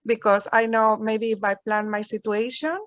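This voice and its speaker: Female participant, fairly high voice, polite and hesitant, on dull lo-fi audio. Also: fairly even intonation, no room sound. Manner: halting, polite. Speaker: workshop participant. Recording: dull, dry lo-fi call audio.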